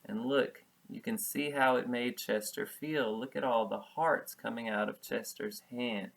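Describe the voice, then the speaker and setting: Slow, patient male preschool storybook reader. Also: mid-range voice, gravelly. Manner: patient, explanatory, repeats key words. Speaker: preschool teacher. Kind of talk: children's storybook read-aloud with simple explanations.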